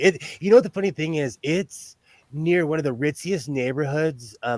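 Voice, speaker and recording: Fortyish guy, kinda high loud voice, rambly livestream chat w lots of laughing, dry close sound. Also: dry recording. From lives on the West Coast of the US. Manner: rambling, laughs often, restarts sentences. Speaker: sewing livestream host. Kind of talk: casual chat while sewing.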